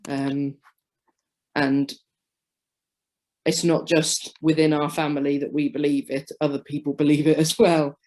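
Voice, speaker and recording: A woman sharing her story as a guest on a video call, halting with many restarts. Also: low voice, little pitch movement, darkish tone. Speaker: webinar guest.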